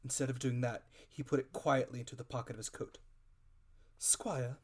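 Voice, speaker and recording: A man's voice: medium pitch, steady tone, quick pace, darkish tone. A man reading a classic adventure story aloud as scripted, dramatic narration. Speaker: audiobook reader.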